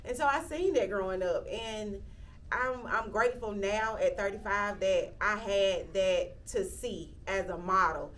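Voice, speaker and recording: A woman, speaking reflectively in an interview, often pausing with "um" and restarting sentences. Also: medium pitch, a bit bright, distant mic, slight background noise. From Athens, Georgia, US. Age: 30s. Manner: reflective, with frequent "um" fillers and restarts. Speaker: interviewee, local county commissioner. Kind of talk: casual interview talk.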